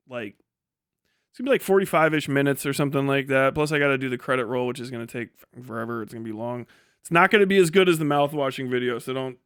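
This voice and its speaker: Tired guy streamer, kinda high voice, rambly and sweary casual chat, dry sound no room echo. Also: fairly bright tone, clear, resonant tone. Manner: rambling, blunt, heavily profane. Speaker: livestream host and video creator. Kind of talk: casual livestream chat and ranting.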